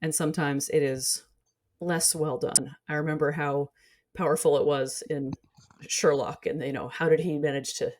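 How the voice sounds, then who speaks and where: A woman with a distinctly low-pitched voice talks through her analysis conversationally. She is articulate and explains her reasoning as a commentator would. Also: fairly even intonation, moderate pace, a little mellow, a little hiss or hum. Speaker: guest scholar and commentator.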